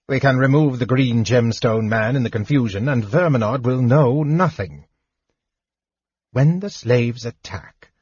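A man voicing a huge, scheming male dragon who speaks in a measured, imperious way. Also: mid-range voice, darkish tone, husky, no echo, lo-fi sound.

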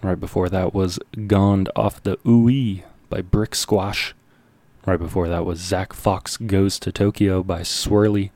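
A man's voice: low-pitched, fairly even intonation, takes it slowly, mellow, dry recording. A man hosting a music radio show with casual, laid-back, slangy patter between songs.

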